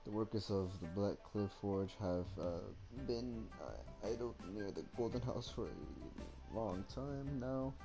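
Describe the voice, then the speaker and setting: A male streamer with a very low voice. He reads game text aloud casually and haltingly, muttering as he goes. Music is clearly audible behind him. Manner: halting, stumbling reading, muttering.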